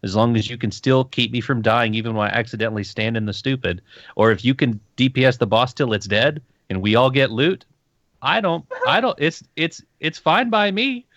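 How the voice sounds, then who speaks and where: A man's voice: medium pitch, moderate pace, fairly bright tone, no echo. A man co-hosting a casual podcast, rambling with many restarts in an upbeat way. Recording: dry, dull lo-fi audio.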